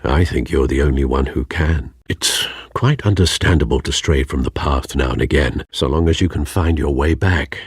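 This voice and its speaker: A man voicing a fatherly older butler in a video game, formal, measured and gently reproving. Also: very deep voice, breathy edge, dry recording, studio quality. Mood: solemn.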